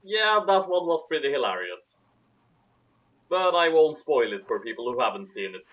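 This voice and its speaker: Animated young man, dull lo-fi recording. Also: mid-range voice, normal speed. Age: young adult. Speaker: host of a fan fiction reading show. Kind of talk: casual introduction and chat. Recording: dull, lo-fi sound.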